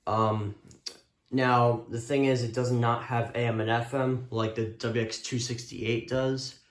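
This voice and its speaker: A man's voice: mid-range voice, little pitch movement, unhurried. A man casually showing off a gadget on a vlog, unscripted, hesitant and repetitive. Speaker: weather radio hobbyist vlogger. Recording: echoey room with background hiss.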